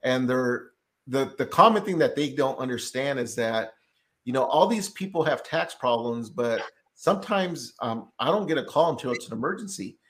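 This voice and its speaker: A man's voice: medium pitch, animated, normal speed, a bit bright. A man hosting a casual podcast interview as a tax debt consultant, talking in a rambling way full of fillers and restarts.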